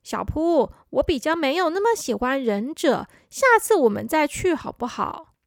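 A woman voicing a caring big-sister girl in a children's story, gentle and a little worried. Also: high voice, very animated, normal speed, no echo, studio quality.

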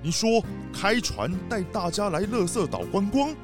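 A man playing a pompous, excitable city mayor in a children's radio drama, with a theatrical, official-sounding manner. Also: high-pitched, normal speed, over music. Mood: incredulous.

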